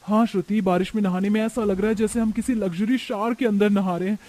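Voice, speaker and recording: A man acts a brazen young modern daughter-in-law in a family story. The voice is very high and theatrical, full of vanity. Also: steady tone, fast, darkish tone, no room sound, lightly acted. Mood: delighted. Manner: defiant, theatrical.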